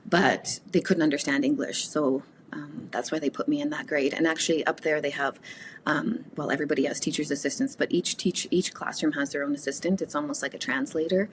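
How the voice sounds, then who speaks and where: A woman from Canada whose first language is Cree, speaking reflectively as an interview guest, with frequent pauses and restarts. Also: low voice, fairly even intonation, slight background noise, poor audio. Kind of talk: casual conversational interview.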